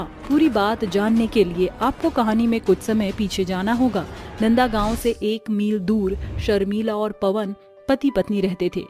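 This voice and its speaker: A woman's voice: medium pitch, dark tone. A woman narrating a scripted cartoon story as its storyteller.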